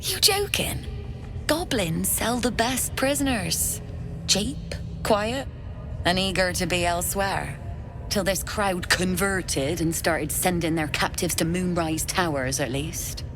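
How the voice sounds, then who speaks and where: A woman's voice: lowish voice, takes it slowly, over music. A woman playing a shrewd, cheery, mercenary merchant in a fantasy video game. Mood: wry, mercenary.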